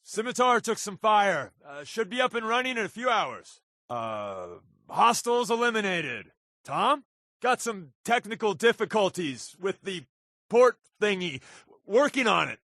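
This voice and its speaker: A man playing an animated video game character with a punchy delivery. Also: sits high, measured pace, no room sound, clean studio sound, exaggerated voice. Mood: businesslike. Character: animated male character in a post-apocalyptic game.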